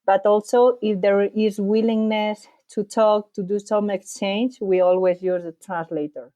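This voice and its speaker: Mellow-voiced woman. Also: medium pitch, fairly even intonation. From Spain. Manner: thoughtful, slightly halting non-native English. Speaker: podcast guest, bike traveller. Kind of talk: casual interview answers.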